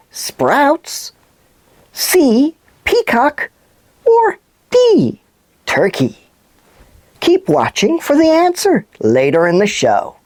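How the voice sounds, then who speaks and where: Woman voicing an expressive puppet news presenter, swooping pitch. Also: no room sound, full character voice. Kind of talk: scripted kids' news show presenting.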